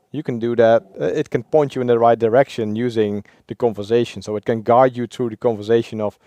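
Podcast host dude, relaxed explaining, dry close mic. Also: male voice, mid-range voice, dry recording. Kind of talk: conversational podcast chat.

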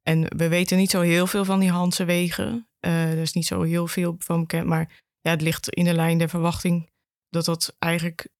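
A woman's voice: on the low side, little pitch movement, moderate pace, darkish tone, dry recording, clean studio sound. A woman explaining informally as an interview guest, with some hesitation. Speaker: archive education officer, podcast guest.

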